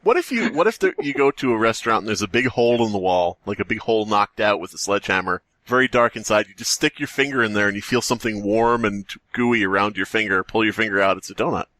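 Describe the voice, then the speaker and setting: A man's voice: on the low side, expressive, quick pace, hardly any pauses, bright, forceful tone, no echo. A man co-hosting a comedy podcast in casual banter, often interjecting with mock-serious remarks and swearing. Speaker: podcast co-host.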